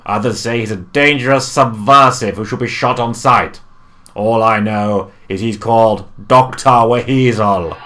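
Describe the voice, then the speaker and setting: A performer playing a blokey television motoring presenter in a comic radio play, making declamatory, drawn-out announcements. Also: mid-range voice, a bit bright, natural voice. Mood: showy.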